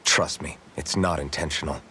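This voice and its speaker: An actor playing an anguished, guilt-ridden young warrior in a video game, speaking in a brooding, earnest way. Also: male voice, rumbling low, steady tone, moderate pace, pushed and bright, a little hiss or hum, full character voice. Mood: troubled, doubtful. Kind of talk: dramatic video game voice acting. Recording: background music.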